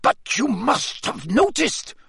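An anxious, secretive professor from a fantasy storybook, voiced by a male reader. He mutters urgently with barely opened lips, pitched up from the narration and recorded very dry. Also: wildly swooping pitch, slow, vocal fry, a bit bright, slightly rough, no room sound, exaggerated voice. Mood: anxious, agitated. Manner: tight-lipped, urgent muttering.

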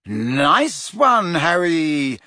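Man voicing a teenage boy wizard, excitable, breathless, high, husky tone. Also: a little slow, no room sound, clean studio sound, exaggerated voice. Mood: cheerful.

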